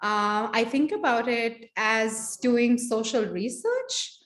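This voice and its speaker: Woman w musing on a panel, lots of like and you know, kinda high voice. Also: sing-song, slow pace. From India. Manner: reflective, chatty, full of "like" and "you know". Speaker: social work researcher on a panel. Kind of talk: panel discussion answer.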